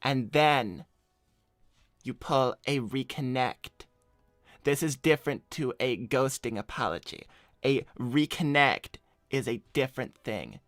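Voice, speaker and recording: A man's voice: mid-range voice, slow, fairly bright tone, dry recording, soft background music. A man voicing a cocky, broke hedgehog slacker in an improvised cartoon livestream, casual, blunt and self-assured. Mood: coaching. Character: cocky, broke male hedgehog slacker giving shady advice. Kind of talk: improvised in-character livestream chat.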